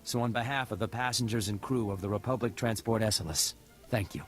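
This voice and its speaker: Earnest spaceship officer played by an actor, music behind. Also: male voice, lowish voice, fairly quick, close to an everyday voice. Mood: relieved, grateful. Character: grateful, earnest male ship's officer in a space opera. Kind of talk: scripted video game acting. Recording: game dialogue with loud background music.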